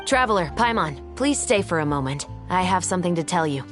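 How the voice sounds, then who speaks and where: A woman's voice: on the low side, lively intonation, brisk, music in the background, close to an everyday voice. A woman voicing a composed, principled young guard officer in a video game, matter-of-fact and assured. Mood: calm.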